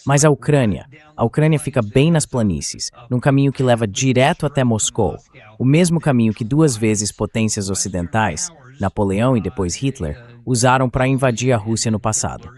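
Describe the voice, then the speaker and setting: A man's voice: medium pitch, lively intonation, moderate pace, a little mellow. A synthetic man's voice in a translated voice-over, giving explanatory political commentary as an expert guest would.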